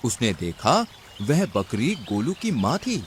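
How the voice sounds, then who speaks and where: A man's voice: medium pitch, huge pitch swings, takes it slowly, a little hiss or hum. A man narrating a scripted children's story.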